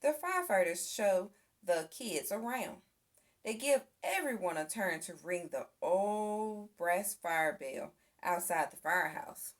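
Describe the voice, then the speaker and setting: A woman reading a children's picture book aloud as an expressive storyteller. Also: medium pitch. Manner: expressive, acts out sound effects and exclamations. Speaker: storybook reader.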